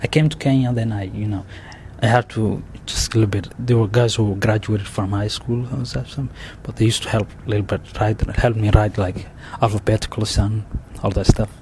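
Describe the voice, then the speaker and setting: A low-pitched man with a Somali accent speaks haltingly, stopping to restart and filling gaps with "you know." Faint noise sits under the recording. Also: fairly even intonation. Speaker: interviewee. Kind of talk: oral history interview.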